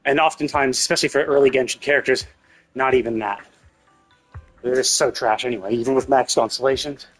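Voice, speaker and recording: A man's voice: mid-range voice, steady tone, fairly quick, faint music behind. A man giving casual livestream commentary on games, explaining in a rambling way and often rephrasing himself. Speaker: video game livestreamer.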